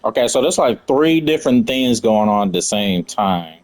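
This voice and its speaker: Animated man casually reacting to gameplay. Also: fairly low pitch, normal speed. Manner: slangy, informal, reacting aloud. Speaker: gaming video host.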